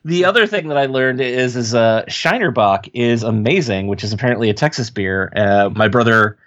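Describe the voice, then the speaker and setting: Male podcast co-host, Ohio American accent, medium pitch, easygoing anecdotes, casual chat. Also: animated, normal speed, fairly bright tone, lo-fi sound. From Ohio, United States.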